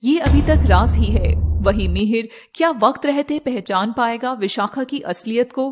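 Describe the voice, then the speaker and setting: Casting: female fairy-tale narrator, high voice, dramatic scripted read, slight background noise. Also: unhurried.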